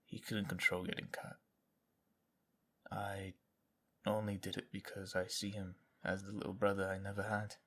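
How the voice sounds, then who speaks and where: A man playing a stubborn, protective, troubled young guardsman in an audio drama, speaking in a halting, earnest way. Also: deep voice, monotone, fairly bright tone. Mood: subdued, protective. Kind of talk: dramatic audio fiction acting.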